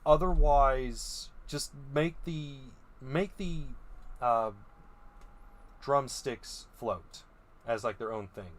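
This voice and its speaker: A man's voice: mid-range voice, extremely slow. Hesitant, musing young man. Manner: hesitant and musing, frequent ums and restarts. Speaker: video host reviewing creature designs. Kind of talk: casual opinion commentary.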